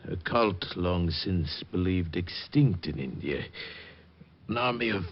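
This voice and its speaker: An actor playing a wounded, dying, urbane crook in an old-time radio drama, speaking formally and deliberately in laboured theatrical tones. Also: male voice, sits low, lively intonation, lo-fi sound, slight background noise. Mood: grave, instructive. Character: dying, urbane crooked dealer in stolen art, male.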